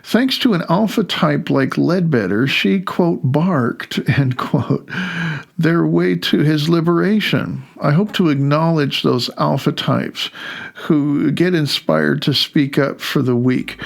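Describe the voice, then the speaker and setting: A man, the author, reads his audiobook dedication in a loose, halting way, with fillers and small chuckles. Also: a little high, gravelly. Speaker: author reading his own book. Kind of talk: reading an audiobook dedication with asides. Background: faint music.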